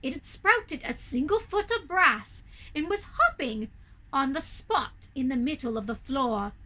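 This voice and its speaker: Slow, high-voiced woman telling children's stories. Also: a bit bright, poor audio. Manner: theatrical, deliberate. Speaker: children's storyteller. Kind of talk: expressive storybook narration for children.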